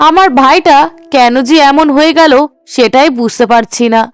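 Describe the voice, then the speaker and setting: A woman's voice: high voice, moderate pace, natural voice. A woman voicing a resentful, judgmental grown daughter in a cartoon melodrama, indignant and gossipy.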